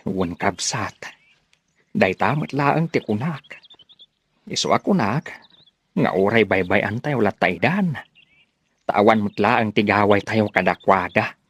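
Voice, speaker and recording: A man plays a resigned older farmer in a radio drama. He speaks slowly and with sighs, in a husky voice, close and worn down. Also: fairly low pitch, animated, no room sound, exaggerated voice. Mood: resigned, worried. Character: weary, resigned older village farmer, brother and father. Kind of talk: radio drama acting in Ilocano.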